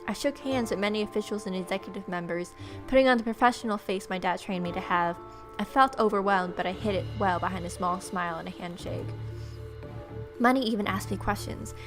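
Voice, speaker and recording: Casting: female gaming host, mid-range, first-person story narration with playful asides, light music underneath. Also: medium pitch. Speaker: gaming video host reading a visual novel.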